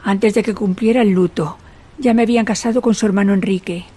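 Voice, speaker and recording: A woman's voice: medium pitch, steady tone, brisk, warm tone, natural voice. An actress playing a dignified, grieving queen who recalls her youth in a solemn, literary radio drama monologue. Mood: sorrowful, solemn.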